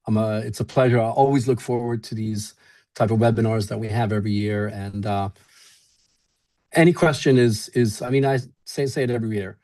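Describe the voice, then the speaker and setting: Mellow-voiced Swedish man, relaxed. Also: on the low side, a little fast. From Sweden. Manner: relaxed, friendly, many fillers. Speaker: event organizer. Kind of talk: webinar panel talk.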